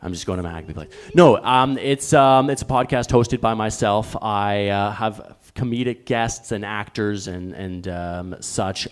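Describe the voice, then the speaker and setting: A man chatting casually on a live stage as a comedic guest, rambling in a halting, repetitive way. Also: fairly low pitch, clear, resonant tone. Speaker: guest podcaster and performer. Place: live stage in small venue.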